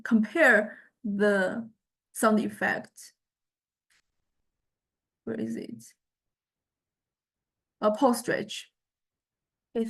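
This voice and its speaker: A woman gives an explanatory lecture as she demonstrates software. Her voice sits somewhat high. She speaks haltingly, repeating words and searching for the right term as she goes.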